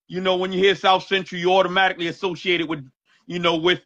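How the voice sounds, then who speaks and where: A man's voice: high-pitched, level tone, fast talker, a bit bright, no room sound, lo-fi sound. A man from New York hosting an album review, talking in a hyped, exclamatory way. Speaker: host.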